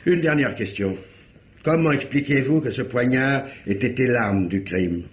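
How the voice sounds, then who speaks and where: A man playing a stern examining magistrate in an old-style French radio mystery, formal, probing and deliberate. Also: medium pitch, lively intonation, lightly acted. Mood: coaxing. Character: stern examining magistrate, paternal uncle, insistent and measured.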